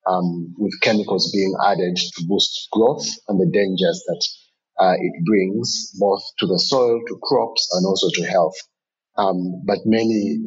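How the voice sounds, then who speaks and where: A man from Uganda giving thoughtful interview answers as a podcast guest, explaining in a measured, earnest way. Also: sits low, breathy edge, far from the mic, dull audio. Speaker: podcast guest, agribusiness founder.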